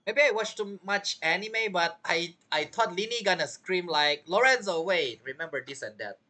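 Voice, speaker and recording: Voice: mid-range voice, animated, moderate pace, pushed and bright. A streamer giving casual, hesitant, rambling commentary while playing a video game. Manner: hesitant, rambling, self-talking.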